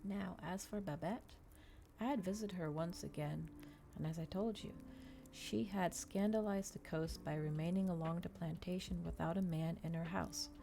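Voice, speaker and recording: A woman doing an ASMR reading of a novel, soft-spoken and measured, with a dramatic storytelling delivery. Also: lowish voice, fairly even intonation, gravelly, faint music behind. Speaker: streamer reading a novel aloud.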